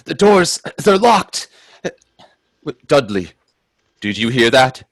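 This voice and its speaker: Man acting an earnest, lively-pitched Edwardian traveller. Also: slow pace, no room sound. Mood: alarmed. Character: cautious, anxious male Edwardian time traveller recounting his ordeal. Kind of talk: radio play acting and narration.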